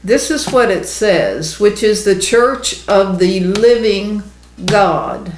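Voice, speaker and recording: An older woman, in her sixties, speaks with a medium-pitched voice. She teaches scripture conversationally, stressing her points earnestly. The room adds a clear echo. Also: lively intonation, takes it slowly, a little hiss or hum. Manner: earnest, emphatic. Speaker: ministry co-host, Bible teacher. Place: small indoor room. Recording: roomy, echoey indoor sound.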